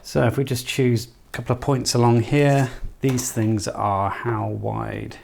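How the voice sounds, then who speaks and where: A man's voice: mid-range voice, level tone, a little slow, vocal fry, a little mellow, slight background noise. A man casually talking through a hands-on electronics build, thinking aloud in a tentative, self-deprecating way. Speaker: host of an electronics project channel.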